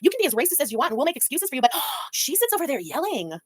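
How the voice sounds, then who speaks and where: A woman livestreamer talking loudly and profanely in casual chat. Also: high voice, big pitch swings, no echo, clean studio sound. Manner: loud, fast, profane.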